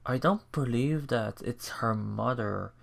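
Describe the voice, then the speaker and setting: A man hosting a let's play, reading visual novel text aloud and commenting in a halting, thinking-aloud manner. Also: mid-range voice, creaky. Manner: halting, thinking aloud between read passages.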